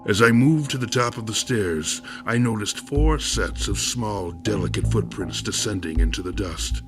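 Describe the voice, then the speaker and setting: A man with a very low voice narrates a horror audio drama. He tells the story in a measured, ornate manner. Clear music runs beneath his narration.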